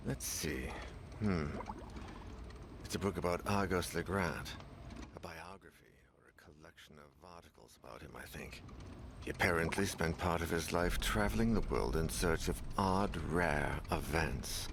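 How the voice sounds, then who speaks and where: A deep-voiced, pensive male investigator in a video game. He muses in a measured way as he works through clues and documents. Clear background noise sits behind his voice. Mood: musing, curious. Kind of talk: scripted game dialogue, thinking aloud and reading documents.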